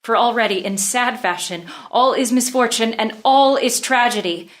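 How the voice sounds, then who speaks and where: A woman's voice: fairly high pitch, normal speed, lightly acted. Grave, formal noblewoman, acted by a woman. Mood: urgent, foreboding. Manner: declamatory, formal. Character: grave noblewoman bearing dire news to her king. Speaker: actor. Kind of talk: unrehearsed table read of classical verse drama.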